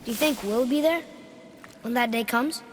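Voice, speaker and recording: High-voiced, curious young boy played by an actor. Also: moderate pace, a little hiss or hum, lightly acted. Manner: questioning, earnest.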